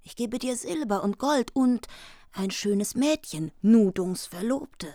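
A woman playing a scheming noble queen in a radio play, coaxing in measured, formal phrases. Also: fairly high pitch, animated, warm tone, no echo, studio quality. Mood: coaxing, promising. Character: scheming noble queen bribing a knight with promises.

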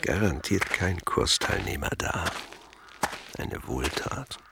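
A man playing a gruff, bossy character who mutters and commands in a German radio drama. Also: deep voice, expressive, slow, heavy background noise, exaggerated voice. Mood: grumbling to himself.

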